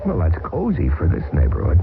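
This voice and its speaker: An actor playing a wry, joking bush pilot in a 1970s radio drama. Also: male voice, deep voice, wildly swooping pitch, brisk, music in the background, exaggerated voice. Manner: wry, joking under strain. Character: wisecracking male American bush pilot, easygoing. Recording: tinny lo-fi old radio broadcast, hissy.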